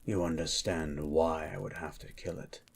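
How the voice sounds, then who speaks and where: A man playing a gruff, suspicious monster hunter in an ASMR roleplay, with a guarded, dry manner. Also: fairly even intonation, normal speed, heavily acted. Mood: grave, suspicious. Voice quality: low, gravelly-husky.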